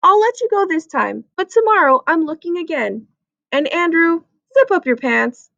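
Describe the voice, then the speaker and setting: Woman playing a brisk, high-voiced storybook mother. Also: expressive, creaky, fairly bright tone, dry recording, highs cut off, heavily acted. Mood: firm, relenting. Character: busy mother, brisk, firm but indulgent.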